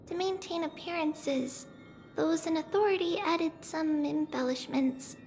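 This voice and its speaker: A woman playing a small, gentle, childlike demigod girl in a video game, speaking in a reflective, unhurried way as if older than she looks. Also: very high-pitched. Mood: wistful. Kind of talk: scripted video game character acting. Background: background music.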